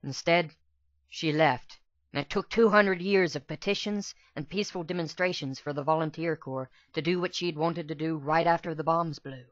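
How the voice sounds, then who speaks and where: Man voicing a soft, high, earnest young pegasus mare. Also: moderate pace, no room sound, highs cut off, close to an everyday voice. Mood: regretful.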